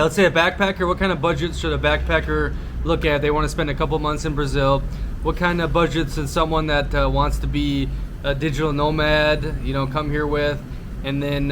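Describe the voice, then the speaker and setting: A man hosting a casual travel podcast, asking plain questions in a flat tone. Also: a little high, noisy background. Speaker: podcast host.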